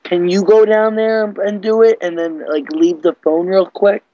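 A young man plays a whiny little schoolboy in a prank phone call, high in pitch. He pleads politely and hesitantly, in childlike phrases, over slightly muffled, dry computer audio. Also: fairly even intonation, normal speed, dry recording, lightly acted. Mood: pleading.

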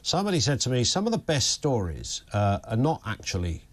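A man's voice: mid-range voice, huge pitch swings, fairly quick. A man, hosting a talk show interview in a television studio with a lapel mic, chatty and opinionated with casual asides. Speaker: news talk show host. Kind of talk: conversational broadcast interview.

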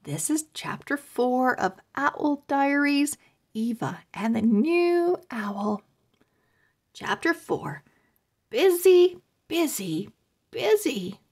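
A woman reading a children's book aloud as host, expressively and with deliberate word-by-word phrasing for young readers. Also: high voice, very animated, a little mellow.

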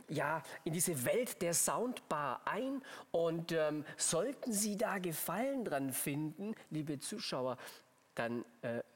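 A man's voice: on the high side, fairly bright tone. A man presenting live teleshopping with an emphatic, conversational and enthusiastic delivery. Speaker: shopping TV presenter. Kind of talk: live teleshopping sales pitch. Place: large hall studio.

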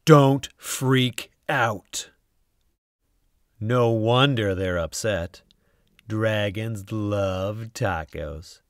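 A man reading a children's picture book aloud, expressive and theatrical, with dramatic drawn-out emphasis. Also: on the low side, no echo, clean studio sound. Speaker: storybook reader.

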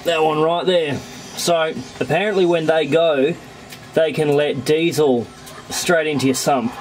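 A man's voice: on the high side, steady tone, unhurried, a little hiss or hum, low-quality recording. A man in his thirties with an Australian accent, talking casually and in a rambling, laid-back way as a sailing vlogger. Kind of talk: casual explanatory vlog talk. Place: aboard a small sailboat, in the cabin and on deck.

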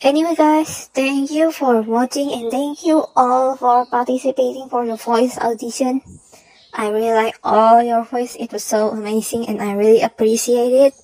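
A woman with an altered voice reading out a prepared announcement in simple, halting phrases. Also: a little high, steady tone, dark tone, dry recording, poor audio. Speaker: channel creator announcing audition winners. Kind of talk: semi-scripted announcement, reading prepared notes.

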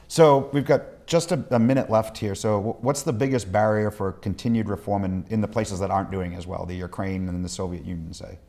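Brisk fast-talking young male host. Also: lowish voice, fairly even intonation, dark tone. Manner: quick, brisk interviewer. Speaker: show host. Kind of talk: hosting a studio interview show. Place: TV studio set, seated in armchair.

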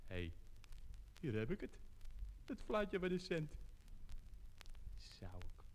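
An actor playing a chatty, curious peel collector who mutters and exclaims to himself in a children's musical play. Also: male voice, a little high, sing-song, slow pace. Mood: curious, hesitant. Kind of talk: old children's musical radio-play acting. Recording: faintly noisy old record.

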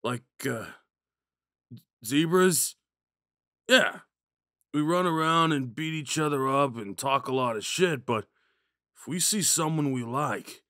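Man acting a slow-talking drunk himbo jock. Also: medium pitch, fairly bright tone, dry recording, clean studio sound. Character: drunk, dim, sweet young male college jock. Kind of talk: ASMR audio roleplay.

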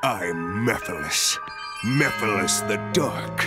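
A man voicing a sinister, cold demon hedgehog in a video game, gravelly and slowly menacing. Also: lowish voice, lively intonation. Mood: cold menace. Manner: slow, ominous, theatrical. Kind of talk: video game cutscene acting. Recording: loud ominous music behind the voice. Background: scary theme music.

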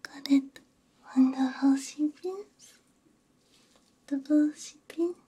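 A woman doing a whispered ASMR roleplay, soothing and gently reassuring, close on a binaural microphone in short hushed phrases. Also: sits high, slow pace. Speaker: VTuber ASMR host.

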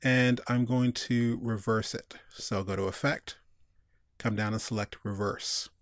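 A man's voice: mid-range voice, fairly even intonation, a little slow, no echo, studio quality. A man giving a casual screencast tutorial, informally talking through each step and thinking aloud. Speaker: tutorial instructor.

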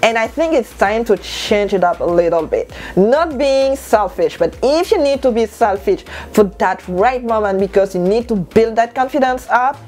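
A woman of about thirty whose first language is French, giving an animated motivational talk to camera in her bedroom and repeating lines for stress. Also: a little high, moderate pace, few pauses, faint music behind. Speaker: lifestyle vlogger. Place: bedroom at home, indoors.

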